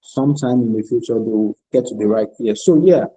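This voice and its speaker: A man's voice: medium pitch, a little fast, breathy edge, rough recording. A man co-hosting a podcast, speaking reflectively and personally about music. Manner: reflective, personal, soft-spoken. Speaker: podcast co-host. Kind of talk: casual podcast discussion.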